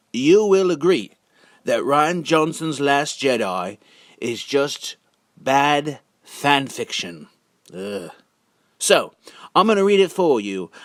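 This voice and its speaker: A man giving a casual, halting monologue as a video narrator, drawling words for sardonic emphasis. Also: mid-range voice, a bit bright, dry recording, studio quality. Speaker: video narrator and amateur reader.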